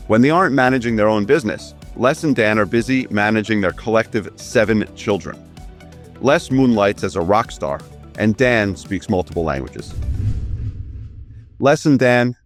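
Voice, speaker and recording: A man hosting a business podcast, introducing guests and asking them questions in an easy conversational way. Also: lowish voice. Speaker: podcast host. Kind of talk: conversational interview.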